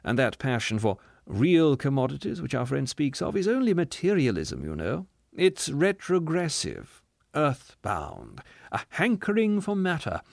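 High, pompous, cultured gentleman. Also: huge pitch swings, unhurried, creaky, dry recording, clean studio sound, heavily acted. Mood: lofty, rhapsodic. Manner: pompous, refined, rhapsodic. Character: fat cultured gentleman, smug, condescending, loftily pompous.

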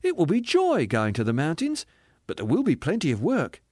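Man as a high, joyful young spirit. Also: wildly swooping pitch, normal speed, dry recording, clean studio sound. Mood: joyful, coaxing. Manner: gentle, amused, lightly coaxing. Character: joyful, forgiving young male heavenly spirit, once a murderer. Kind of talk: dramatised audiobook reading.

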